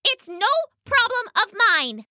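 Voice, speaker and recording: A woman voicing a small, indignant male mouse in a children's story, with a thin, squeaky, protesting voice. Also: big pitch swings, unhurried, bright, forceful tone, no room sound, studio quality, heavily acted. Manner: protesting, shrill.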